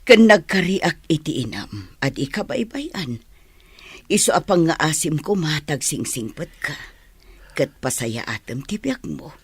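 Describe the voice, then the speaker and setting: An older village auntie in a radio drama, caring, scolding a bit, very expressive pitch. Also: female voice, unhurried, breathy edge, dry recording, heavily acted. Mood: admonishing, tender. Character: caring older village woman, foster mother, admonishing. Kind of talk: radio drama acting in Ilocano.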